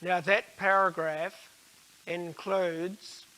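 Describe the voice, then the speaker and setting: An older man giving an explanatory religious talk in a measured, halting way, with frequent pauses mid-sentence. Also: sits high, flat delivery, sharp tone, rough recording.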